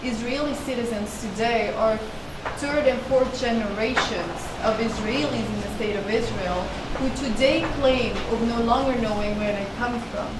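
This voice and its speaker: A woman in her thirties, a lecturer answering audience questions in an earnest, explanatory way with many restarts. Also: fairly high pitch. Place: seminar room with a panel table. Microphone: table mic on a stand. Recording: echoey room, distant and noisy.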